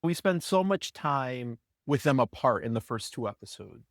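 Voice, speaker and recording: A man's voice: mid-range voice, lively intonation, normal speed, no room sound, clean studio sound. A man speaking as a guest from a production team, casually explaining how a story was put together.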